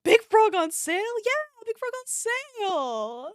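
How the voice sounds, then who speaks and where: A woman's voice: extremely high pitch, sing-song, slow pace, dry recording, clean studio sound. A woman livestreamer chatting casually and reacting to an online shop with playful, mock-dramatic exclamations.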